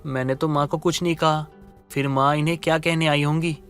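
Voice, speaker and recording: A man's voice: on the high side, flat delivery, brisk, a bit bright, faint music behind, close to an everyday voice. Man playing a meek, polite husband. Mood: meek, pleading. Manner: polite, hesitant, pleading. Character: meek, patient middle-class husband, lovestruck and submissive. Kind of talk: Hindi animated story dialogue.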